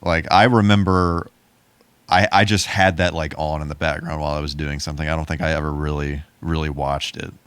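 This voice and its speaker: Low-voiced, laid-back man in casual chat. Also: little pitch movement, a little fast, fairly bright tone, clear, resonant tone, no echo. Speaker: podcast co-host.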